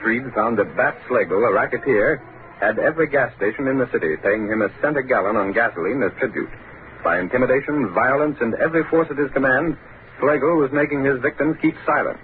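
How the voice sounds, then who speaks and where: A man's voice: mid-range voice, a little fast, a little hiss or hum. A man narrating a scripted drama in vintage radio style. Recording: narrow-band vintage radio with music. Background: music.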